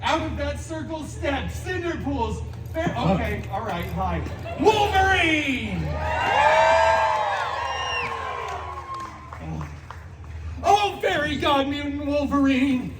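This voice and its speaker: An actor playing a flamboyant, wisecracking masked antihero who tells a parody fairy tale in a theatrical, sarcastic way at a live show. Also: male voice, very high-pitched, animated, a little slow, distant mic, heavy background noise. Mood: mock dramatic. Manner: theatrical, sarcastic, mock-dramatic, with comic asides. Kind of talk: live theme park comedy storytelling show. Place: outdoor theme park stage, heard from the audience. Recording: echoey amplified park show over music. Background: background show music.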